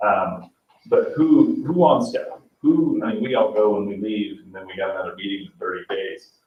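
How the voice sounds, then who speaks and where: American guy at a town meeting, low voice, halting, muffled and far from the mic. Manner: halting, searching for words. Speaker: town council member at a commission meeting. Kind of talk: informal public meeting discussion. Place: indoor public meeting room. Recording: roomy, distant, muffled meeting-room mic.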